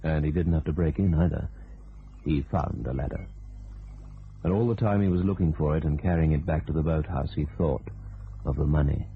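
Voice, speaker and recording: A man narrating a grim crime thriller radio play in terse, deliberate sentences with a very deep, gravelly voice. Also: slight background noise. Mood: grim, suspenseful. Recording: tinny band-limited radio recording, music behind.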